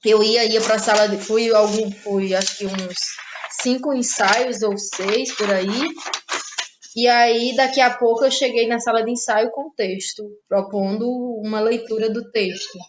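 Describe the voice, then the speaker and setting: Thoughtful Brazilian woman playwright, halting speech. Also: fairly high pitch, steady tone, background noise. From Ceará, Brazil. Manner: thoughtful, halting, many restarts and fillers. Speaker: playwright and actress, guest. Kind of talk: casual interview conversation.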